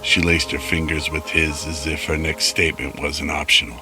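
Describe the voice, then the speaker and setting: Male narrator for radio drama; extremely low voice, scripted storytelling over clear music. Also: little pitch movement, normal speed.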